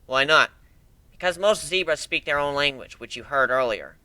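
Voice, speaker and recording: Calm, blunt dog warrior, read by a man, matter-of-fact, dry close recording, dramatic story reading. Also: a little high, moderate pace, no echo.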